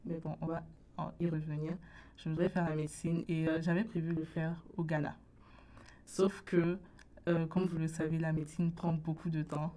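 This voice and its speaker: Brief: teenage woman, very low, flat delivery, soft-spoken radio guest. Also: normal speed, slight background noise. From Burkina Faso. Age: late teens. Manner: soft-spoken, searches for words. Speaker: interview guest. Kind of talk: radio interview. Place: radio studio.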